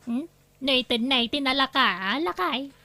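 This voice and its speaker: An actress playing a scheming wife who talks in a coaxing, sly way. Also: female voice, high-pitched, lively intonation, normal speed, bright, forceful tone, no room sound, full character voice. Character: scheming wife of a greedy man. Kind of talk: radio drama acting.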